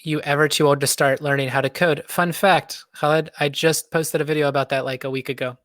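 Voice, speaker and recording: A man's voice: a little high, fairly even intonation, a little fast, a bit bright, no room sound, clean studio sound. A man of thirty chatting casually on a livestream, laid-back with self-deprecating humor. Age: 30s. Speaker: guest, web developer.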